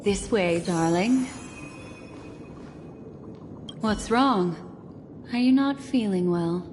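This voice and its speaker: A woman voicing a poised, mysterious memory keeper in a video game, with an unhurried, coaxing, gently teasing manner. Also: mid-range voice, lively intonation, a little hiss or hum. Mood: tender. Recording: long reverb with clear background music.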